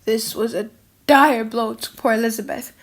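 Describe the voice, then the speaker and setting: A woman narrating a classic novel as a dramatic audiobook, in an expressive, solemn storytelling manner. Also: on the high side, normal speed, slight background noise.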